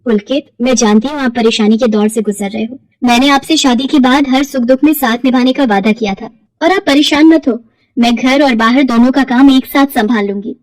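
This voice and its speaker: Lady as a sweet dutiful young bride in a cartoon, soothing, earnest, higher voice. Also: a little fast, dry recording, close to an everyday voice, poor audio. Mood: earnest, determined. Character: gentle, dutiful young bride and daughter-in-law.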